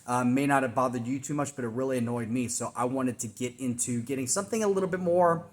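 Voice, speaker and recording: Brief: 40s male trainer, relaxed chatty vlog delivery, mid-pitched, explains with filler words. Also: fast. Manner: conversational, explanatory, many fillers and restarts. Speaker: fitness trainer. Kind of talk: casual weekly check-in vlog. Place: home workout room.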